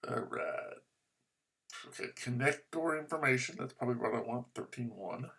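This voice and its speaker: Man, gravelly voice, bright and tense tone, lively pitch, talking to himself at a desktop mic. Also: normal speed. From United States. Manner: muttering to himself while searching, bursts of excited cheering. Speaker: ham radio vlogger. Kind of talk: casual screen-recorded commentary.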